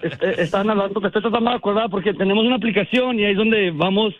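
A man's voice: high-pitched, rapid-fire, no echo. A man in his twenties calling in from work, answering politely and hesitantly with many fillers. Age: 20s. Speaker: caller. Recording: muffled phone-in audio.

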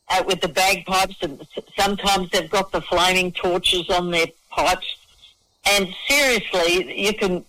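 An Australian man with a high, bright voice talks in a casual interview. He is enthusiastic and matey, stammering over repeated words as he gushes about his subject. The sound is close and dry. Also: no echo, low-quality recording. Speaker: festival organiser and singer-songwriter, interview guest. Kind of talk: casual radio interview chat.

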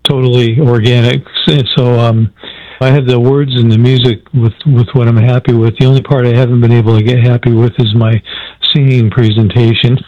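A man's voice: mid-range voice, little pitch movement, a little fast, dry recording. A man calling in to a radio show, earnest and hesitant, often restarting his sentences. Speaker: caller. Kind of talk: phone-in caller explaining a question. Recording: dull lo-fi phone line.

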